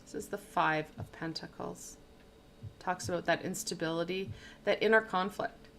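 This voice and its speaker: A woman's voice: lowish voice, fairly even intonation, normal speed, fairly bright tone, slight background noise. Rambling woman giving a tarot reading. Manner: rambling, stumbling, self-deprecating. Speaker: tarot reader. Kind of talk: casual livestream tarot reading. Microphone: plug-in studio microphone.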